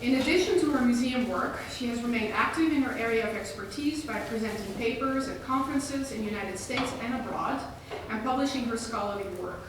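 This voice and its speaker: A woman's voice: on the high side, fairly quick. A woman from the Netherlands, introducing a guest speaker at a museum lecture and reading her prepared remarks. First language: Dutch. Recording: very echoey hall, voice from far off, audible noise.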